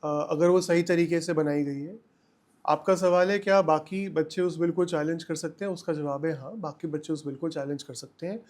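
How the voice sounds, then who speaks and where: A man's voice: a little high, fairly even intonation, moderate pace, darkish tone. A man giving explanatory answers as a legal expert on a podcast, emphatically repeating key points and mixing in English terms.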